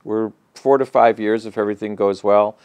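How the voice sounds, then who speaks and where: An older man answering interview questions in an office, speaking in a measured, matter-of-fact way with frequent fillers and restarts. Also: on the low side, fairly even intonation, darkish tone, clean tone, no echo. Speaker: regional center president, interview guest. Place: office with bookshelves, indoors. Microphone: lapel mic.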